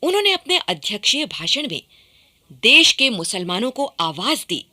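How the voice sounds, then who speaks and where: A woman reading scripted radio documentary narration with formal, expressive enunciation. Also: fairly high pitch, moderate pace.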